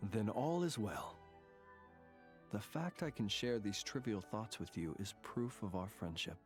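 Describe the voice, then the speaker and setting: An actor playing an ancient dragon prince in a video game, calm and reflective, with formal, measured, courteous speech. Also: male voice, lowish voice, over music. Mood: gentle, reassuring. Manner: formal, measured, old-fashioned courteous phrasing. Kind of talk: scripted game character dialogue.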